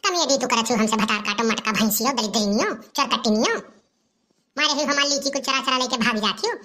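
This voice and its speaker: Comedy cartoon: abusive rustic orchard owner played by a woman, Awadhi accent, high voice, insult-hurling scold. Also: expressive, fairly quick, pushed and bright. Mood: accusing. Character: furious, abusive village woman guarding her lychee orchard.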